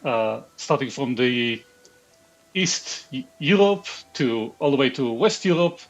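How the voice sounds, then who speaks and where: A man's voice: medium pitch, animated, slow, faint music behind. A man from Israel with an Israeli accent, streaming live, reading chat aloud and muttering half-sentences to himself as he builds a game character. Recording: dull lo-fi stream audio.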